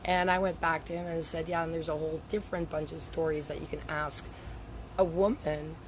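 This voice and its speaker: A woman in her fifties, losing her voice and a bit raspy, chatting casually in a live video from outdoors by a lake. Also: low-pitched, fairly even intonation, fairly quick, background noise. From Canada. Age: 50s. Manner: chatty and rambling, laughs between points. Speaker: handwriting analyst.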